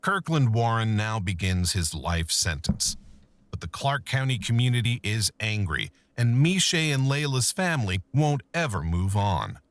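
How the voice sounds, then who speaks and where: A man narrating a true-crime documentary in a measured, scripted storytelling manner. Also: fairly low pitch, sing-song, noisy background.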